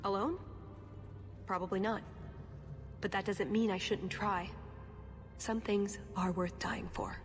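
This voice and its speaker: A woman's voice: mid-range voice, fairly even intonation, slow, long pauses, big-room sound, faint music behind, natural voice. An actress playing a determined, composed female spy in a video game, speaking in an earnest, matter-of-fact way. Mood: earnest, resolute. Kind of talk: video game cutscene acting.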